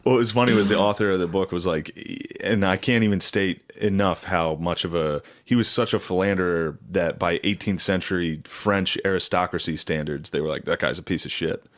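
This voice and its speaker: A man's voice: deep voice, fairly quick, hardly any pauses. A man co-hosting a podcast, telling stories casually and comedically in crude, profane slang. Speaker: podcast co-host. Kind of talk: casual comedic storytelling chat.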